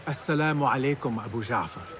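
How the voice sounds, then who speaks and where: An actor playing an eager, respectful young apprentice assassin in a video game, earnest and questioning. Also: male voice, medium pitch, quick pace, background noise, lightly acted. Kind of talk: video game cutscene acting.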